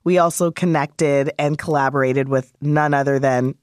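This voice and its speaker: A host leads a conversational interview. The voice has a medium pitch and is recorded dry and close, with little room sound. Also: steady tone, moderate pace, smooth, no echo.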